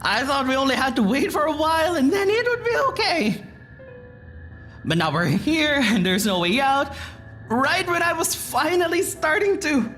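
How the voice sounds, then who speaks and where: An actress playing a tender but angry woman full of regret in an audio drama, speaking haltingly and with emotion. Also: sits high, sing-song, fairly bright tone, faint music behind. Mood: angry, hurt. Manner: halting, emotional, sighing pauses.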